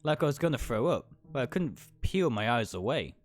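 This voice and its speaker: A man's voice: mid-range voice, sing-song, brisk. A man livestreaming a dramatic first-person read-aloud of a visual novel, stumbling over words now and then and correcting himself. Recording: music behind the voice.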